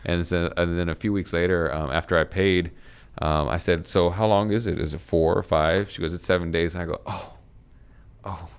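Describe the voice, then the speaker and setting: A man in his thirties, a fitness coach talking as a podcast guest, halting with many fillers and restarts. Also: deep voice, fairly even intonation, clean tone. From United States. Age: 30s. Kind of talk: casual conversation.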